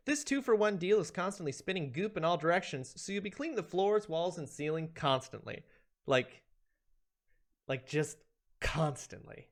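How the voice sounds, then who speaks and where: A man hosting a stream, giving a playful, theatrical reading of a comedic ranked list with emphatic delivery. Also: a little high. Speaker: streamer, host.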